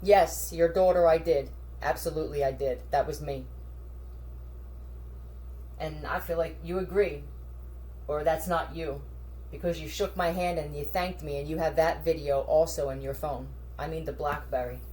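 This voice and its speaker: A woman's voice: deep voice, steady tone, normal speed, dark tone, slight background noise, poor audio. A woman talking in a scattered, profane monologue, addressing unseen listeners directly. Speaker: video creator. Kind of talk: rambling monologue to camera.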